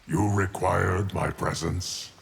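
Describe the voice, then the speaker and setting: A man playing a grave, reserved warrior in a fighting game, with a gravelly voice and formal, deferential manner. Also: deep voice, steady tone, slow pace, exaggerated voice. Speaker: video game fighter character. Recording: noisy game background.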